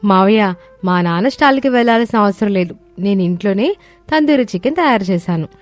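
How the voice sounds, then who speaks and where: An eager young daughter-in-law in a cartoon family tale, voiced by a woman, speaks politely and earnestly at a medium pitch. Light music runs underneath. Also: lively intonation, a little fast, close to an everyday voice. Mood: reassuring.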